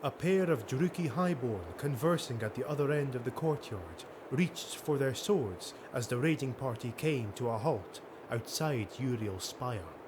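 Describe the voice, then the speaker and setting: A man's voice: mid-range voice, expressive, unhurried, few pauses, background noise. A man reading a fantasy audiobook as dramatic scripted narration.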